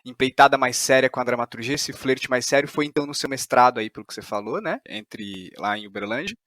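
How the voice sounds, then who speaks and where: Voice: medium pitch, fast talker, fairly bright tone, no room sound. Podcast interviewer with a close, dry sound. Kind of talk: casual interview conversation.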